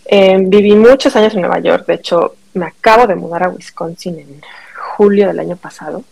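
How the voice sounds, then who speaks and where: A woman's voice: lowish voice, normal speed, creaky, darkish tone, low-quality recording. A middle-aged Mexican woman, a podcast guest telling a personal story in casual conversation, acting out what people said.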